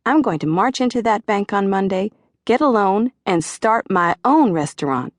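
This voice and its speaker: A woman's voice: mid-range voice, lively intonation, moderate pace, no echo, studio quality, voice pushed up, natural voice. Woman doing a whiny Georgia-drawling waiter man. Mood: defiant. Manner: whiny, complaining, worked up. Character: grumbling middle-aged male head waiter, dreams of cooking.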